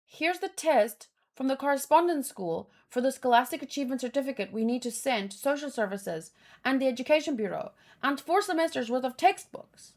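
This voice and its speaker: A woman with a fairly high-pitched voice reads a story aloud. She voices the dialogue informally and expressively, slipping into offhand commentary as a read-along host would. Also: lively intonation, brisk, few pauses. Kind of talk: reading dialogue aloud with casual reaction commentary.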